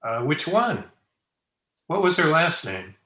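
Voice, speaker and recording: A man's voice: on the high side, big pitch swings, slow pace, sharp tone, full character voice. A man playing a suave, flippant bachelor playboy in an old-time radio comedy, breezy and self-satisfied. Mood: smug, teasing. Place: indoor room, remote video call. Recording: roomy echo on home video-call recording.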